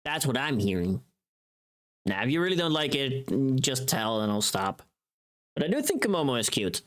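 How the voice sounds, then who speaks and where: A woman's voice: very deep voice, sing-song, moderate pace. A woman livestreamer chatting casually with her viewers in a playful, teasing and bluntly informal way. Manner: playful, teasing, reacting to chat.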